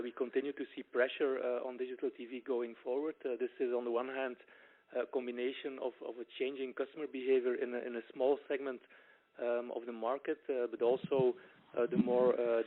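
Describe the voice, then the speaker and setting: A male executive gives explanatory answers on a business conference call. His pitch stays very level and his phrasing is measured, with small hesitations. The audio is dull and band-limited, like a telephone line. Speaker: company executive answering analysts on an earnings call.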